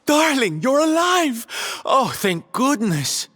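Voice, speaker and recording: A man's voice: extremely high pitch, big pitch swings, slow, a bit bright, exaggerated voice. A man playing a flamboyant, affectionate, teasing companion in a game, theatrical and coddling. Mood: overjoyed, relieved. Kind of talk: scripted game cutscene acting. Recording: water and ambience noise behind.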